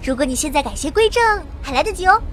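A woman's voice: high voice, expressive, fast talker, fairly bright tone, a little hiss or hum. A woman playing a chatty young mage girl, timid yet cocky, in a Chinese audio drama. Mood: cheeky. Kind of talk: Chinese audio drama acting, first-person narration and dialogue.

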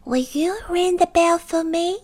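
A woman putting on a tiny, soft-spoken little man. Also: measured pace. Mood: small, polite. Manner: small, soft high voice. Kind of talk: storybook reading.

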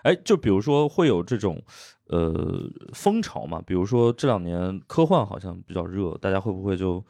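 Brief: male podcast host, low voice with lively pitch, inquisitive. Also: normal speed. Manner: asks short curious questions. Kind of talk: casual interviewing chat.

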